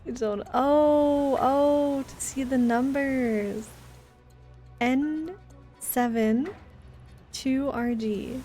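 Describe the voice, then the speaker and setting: A woman's voice: high voice, drawn-out words, pauses often, mellow, soft background music. A woman chatting casually with her viewers while livestreaming a video game, in a chatty, giggly manner.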